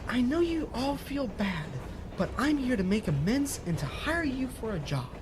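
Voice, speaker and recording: A woman's voice: fairly high pitch, sing-song, moderate pace, noisy background. A woman playing a cheerful, shady fixer who talks in a sing-song children's show manner in a parody audio drama. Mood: placating.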